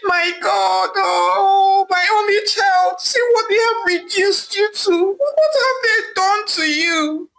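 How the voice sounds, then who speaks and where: A woman voicing a distraught, wealthy mother who pleads and weeps in a high, wailing voice. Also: takes it slowly, gravelly, few pauses, fairly bright tone, full character voice. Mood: weeping.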